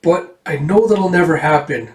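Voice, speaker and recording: A man voicing a defiant, sorrowful young girl with an excitable manner in a tabletop game. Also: a little high, moderate pace, roomy sound, natural voice. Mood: tearful, guilty. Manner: excitable, squealing when startled.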